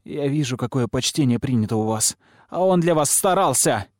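A man's voice: high voice, lively intonation, moderate pace, dry recording, studio quality, pitched above the performer's own voice. A man voicing a defiant young girl in an audiobook story, shrieking and tearful with indignation. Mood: shrill indignation. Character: furious, defiant, weeping young girl named Flame.

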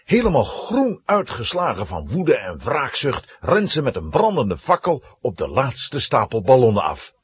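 A man narrating a children's radio play with dramatic, suspenseful, animated storytelling. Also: medium pitch, a little slow, breathy edge, dry recording.

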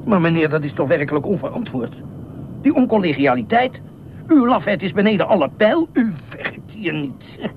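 Pompous, excitable beach watchman, tinny noisy recording. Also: on the high side. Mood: indignant. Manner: pompous, indignant, rambling. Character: eccentric, self-appointed middle-aged beach watchman, officious and excitable. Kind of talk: radio play acting. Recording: noisy, tinny phone-like radio drama recording.

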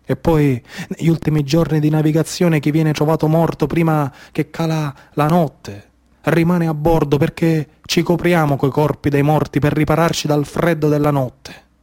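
A man's voice: a little high, level tone, moderate pace, a little mellow, natural voice. A man playing a desperate, exhausted migrant adrift at sea, with a Sicilian accent and urgent, repetitive phrasing, in a theatrical radio monologue. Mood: grim, despairing.

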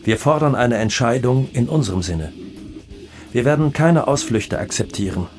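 An actor playing a defiant, self-assured drug cartel boss in a German radio docudrama, with a threatening, declamatory delivery. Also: male voice, medium pitch, animated, normal speed, close to an everyday voice. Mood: defiant, commanding. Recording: voice over prominent guitar music. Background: guitar music.

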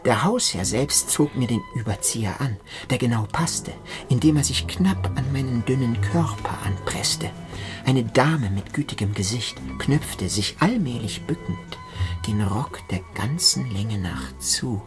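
Male narrator for first-person literary radio drama, music clearly behind. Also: mid-range voice, animated, unhurried.

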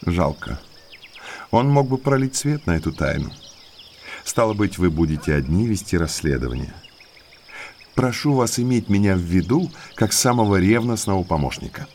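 An actor playing a well-mannered male naturalist in an audio drama, an eager guide to eerie moors who speaks politely and deliberately. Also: low voice, animated, darkish tone, a little hiss or hum. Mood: courteous, insistent. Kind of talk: Russian audiobook drama acting.

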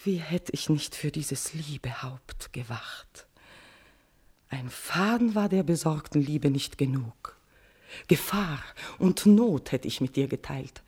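An actress playing a tormented, lovesick queen in a classical verse radio tragedy, declaiming with passion and slipping between breathy whispers and swelling outbursts. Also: deep voice, lively intonation, slow, mellow, full character voice. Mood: rapturous, passionate.

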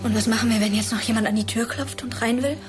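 Radio drama schoolgirl, played by a woman: high-pitched, urgent, morally outraged teen, clear music bed. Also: steady tone, quick pace, lightly acted. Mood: anxious, insistent. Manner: urgent, pleading, reproachful. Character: earnest, indignant, compassionate teenage schoolgirl in danger. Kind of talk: naturalistic radio drama acting.

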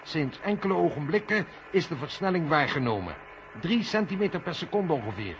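An actor playing a serious, matter-of-fact mission control operator speaking with urgency in a radio drama. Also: male voice, fairly high pitch, sing-song, brisk. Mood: serious, insistent. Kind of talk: 1970 Dutch radio drama acting. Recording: muffled, noisy old radio recording.

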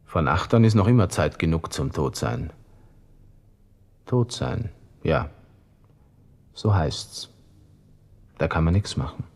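Brooding low-voiced Viennese young lieutenant. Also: male voice, animated, takes it slowly, vocal fry, long pauses, a little mellow, heavily acted. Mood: resigned. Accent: Viennese Austrian. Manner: brooding, talking to himself, shifting between flippant and sentimental. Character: self-pitying, vain young Austrian army lieutenant facing his end. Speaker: radio drama actor. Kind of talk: interior monologue in a radio play.

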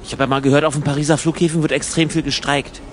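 Guy playing a traveller emigrating, talks really fast, explains stuff, a bit exasperated, casual radio play chat. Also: on the high side, flat delivery, a little hiss or hum, natural voice. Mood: matter-of-fact. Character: matter-of-fact male traveller emigrating to Ireland, explaining eagerly. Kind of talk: conversational improvised radio play dialogue.

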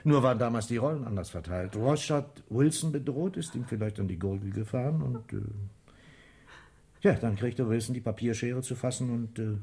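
An actor playing a gruff, sarcastic, domineering male police inspector in a German radio crime drama, speaking curtly. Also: medium pitch, normal speed, warm tone, lightly acted. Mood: probing, sardonic. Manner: curt, commanding, sardonic.

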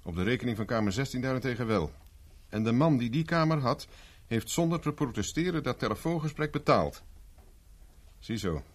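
An actor playing a persistent private investigator in a 1970s radio drama, speaking dry and insistent. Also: male voice, mid-range voice, lively intonation, fairly quick, natural voice. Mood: confronting. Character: dogged male private investigator, cool and persistent. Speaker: private detective Carver.

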